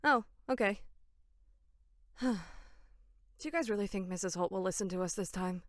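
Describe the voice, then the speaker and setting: A woman's voice: mid-range voice, lively intonation, normal speed, no echo, studio quality, natural voice. A woman playing a shy, earnest, bookish young female counselor in an audio drama, hesitant and sincere. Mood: anxious. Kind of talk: horror audio drama acting.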